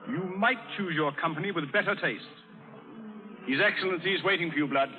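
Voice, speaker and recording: An actor playing a stern, domineering middle-aged colonial colonel in an old radio drama, curt and blustering. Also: male voice, fairly high pitch, brisk, close to an everyday voice. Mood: stern, suspicious. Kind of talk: 1930s radio acting. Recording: tinny old radio broadcast.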